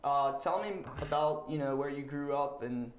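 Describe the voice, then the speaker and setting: A man's voice: a little high, normal speed, echoey room. A young man hosting a student sports interview show, asking friendly, brief questions. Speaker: host.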